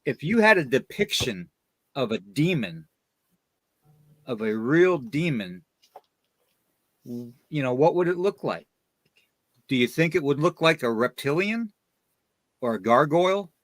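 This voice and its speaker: A man's voice: medium pitch, very animated, a little slow, long pauses, no echo. A man hosting a casual live chat show, talking in a friendly, rambling way and repeating his phrases. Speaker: podcast host. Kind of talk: casual live chat, greeting and asking listeners.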